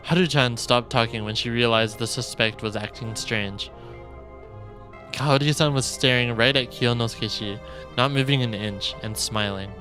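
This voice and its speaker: A man's voice: medium pitch, normal speed, sharp tone. A man streaming a game, expressively reading visual novel narration aloud. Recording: dry close mic, faint game music.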